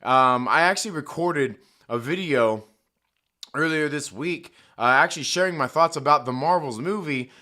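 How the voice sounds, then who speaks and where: A man hosting a live stream, talking casually and profanely while his voice is hoarse, joking and reading chat aloud. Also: a little high, moderate pace, pushed and bright.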